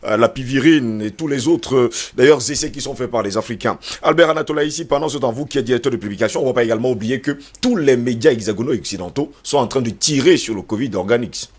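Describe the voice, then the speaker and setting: A man's voice: mid-range voice, quick pace, hardly any pauses. A man hosting a televised debate, interjecting and questioning in a pointed way.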